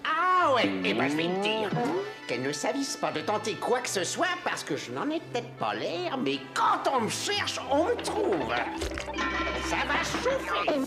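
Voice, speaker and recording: Feisty dim dwarf, cartoon, blustery, pitch all over the place, loud music. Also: female voice, wildly swooping pitch, measured pace, long pauses, full character voice. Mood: blustering. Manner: blustering, argumentative. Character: hot-headed, slow-witted, feisty little dwarf.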